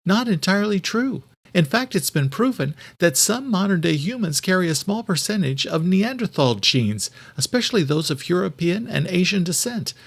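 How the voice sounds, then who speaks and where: Guy doing a smart earnest woman in an audiobook, higher voice, kinda insistent explaining stuff. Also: gravelly, heavily acted. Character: earnest adult woman, quick-thinking twin sister. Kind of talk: audiobook character dialogue.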